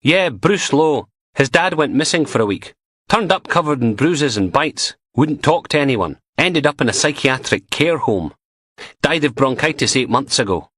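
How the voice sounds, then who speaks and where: A man's voice: medium pitch, lively intonation, moderate pace, fairly bright tone, breathy edge, no room sound, studio quality, pitched above the performer's own voice. A man voicing an eager young male police constable who reports in a chatty way.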